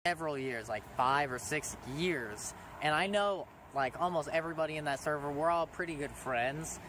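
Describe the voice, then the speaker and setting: A teenage boy vlogging to his viewers, rambling with frequent ums and pauses. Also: on the high side, background noise. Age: teens. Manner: rambling, hesitant, many ums. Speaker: small YouTuber thanking subscribers. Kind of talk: casual vlog to camera.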